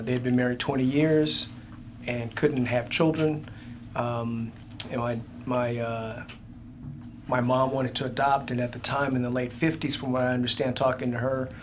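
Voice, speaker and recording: Older man answering with halting fillers. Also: medium pitch, flat delivery, noisy background. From United States. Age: 60s. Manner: halting, many fillers and restarts. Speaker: police chief guest. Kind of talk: interview answers.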